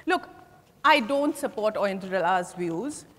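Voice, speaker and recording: A woman's voice: medium pitch, animated, takes it slowly, a bit bright. A young woman on stage playing an ambitious, defensive suspect who answers questions in a guarded way. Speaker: stage actor. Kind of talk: dramatized play reading on stage. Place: large hotel ballroom stage. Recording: echoey hall from far off.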